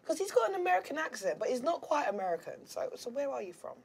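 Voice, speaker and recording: A woman hosting a casual interview, bubbly and quick to laugh. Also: sits high, vocal fry, crowd noise. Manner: bubbly, laughs often, animated storytelling. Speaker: interviewer host.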